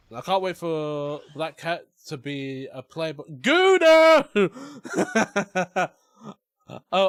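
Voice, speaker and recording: A man's voice: fairly high pitch, smooth. A young man giving rambling, casual commentary while playing a video game, chatty and easily amused.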